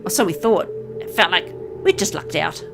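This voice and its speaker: Caring, stubborn young woman, acted by a female reader, brisk and affectionate, visual novel, music underneath. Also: on the low side, expressive, creaky. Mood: worried. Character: caring, stubborn young woman visiting her sick partner.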